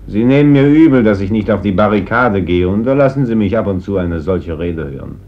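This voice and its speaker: An actor playing a gentle, troubled pacifist priest in a radio play, speaking in an earnest, measured, conciliatory way. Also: male voice, fairly low pitch, lively intonation. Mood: irritated. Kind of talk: German radio play acting. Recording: dull, noisy old radio recording.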